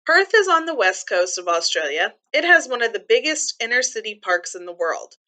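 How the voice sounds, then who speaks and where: A woman reading a children's book aloud like a teacher, pausing to explain things simply and clearly to young listeners. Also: a little high, big pitch swings, sharp tone.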